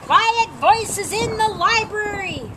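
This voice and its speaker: A woman voicing a grumpy, picky male bear from a storybook, with a fussy, formal and often loud manner. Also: very high-pitched, expressive, takes it slowly, noisy background, pitched above the performer's own voice, heavily acted. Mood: loud, indignant.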